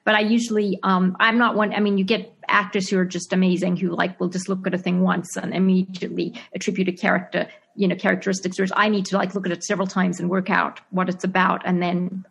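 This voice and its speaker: A woman's voice: lowish voice, little pitch movement, fairly quick, creaky, few pauses, breathy edge, dull audio. A woman with a Zimbabwean accent, an actress and writer answering interview questions casually, with lots of fillers, restarts and laughter. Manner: hesitant, many fillers and restarts, laughs often. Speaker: actress and screenwriter being interviewed.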